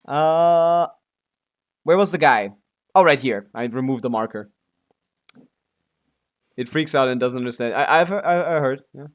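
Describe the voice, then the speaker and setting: A man's voice: mid-range voice, a little slow, long pauses, smooth. A male streamer talks casually through his game in an ordinary mid-range voice. He mutters half to himself and half to his viewers, with loose, offhand swearing. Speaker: live streamer. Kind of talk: casual gaming livestream chatter.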